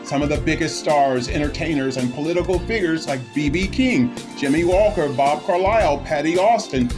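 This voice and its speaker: Announcer over loud music. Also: mid-range voice, moderate pace. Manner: grand, rhythmic list reading. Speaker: show announcer. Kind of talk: scripted promo narration.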